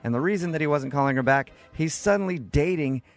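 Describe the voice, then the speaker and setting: A man with a fairly high voice hosts a radio show. He keeps up lively, joking banter and teases as he goes, with music playing underneath. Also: quick pace. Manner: teasing, jokey. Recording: music bed behind.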